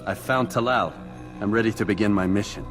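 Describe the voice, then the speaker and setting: A man acts a young video game assassin with a confident, curt manner. He speaks in an ordinary mid-low voice, with clear music playing underneath. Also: a little fast, natural voice. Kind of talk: video game cutscene acting.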